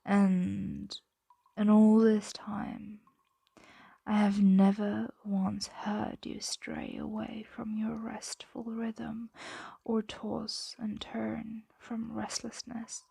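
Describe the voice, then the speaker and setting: A woman voicing a possessive, doting female dragon in an ASMR roleplay, soothing and teasing in a breathy, intimate whisper. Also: mid-range voice, little pitch movement, drawn-out words, dark tone, no echo, heavily acted. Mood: tender.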